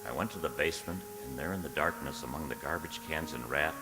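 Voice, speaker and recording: Very deep-voiced, anxious man, played by an actor. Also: quick pace, over music. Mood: brooding, anxious. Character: anxious, romantic man narrating his diary while trapped. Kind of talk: dramatic diary narration and radio drama acting. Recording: echoey hall, long reverb.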